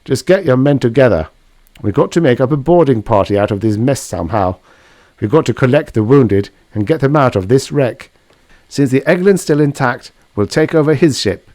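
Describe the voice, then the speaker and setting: Man voicing firm, clipped warship skipper, raised pitch. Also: lively intonation, normal speed, darkish tone, no room sound, close to an everyday voice. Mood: commanding. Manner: clipped, authoritative. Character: firm male warship skipper, commanding, secretly soft-hearted.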